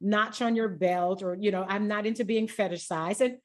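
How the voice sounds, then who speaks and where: A woman's voice: mid-range voice, fairly even intonation, moderate pace. A middle-aged woman with an American accent, talking casually and candidly in a blunt, unfiltered way and swearing freely. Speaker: podcast host.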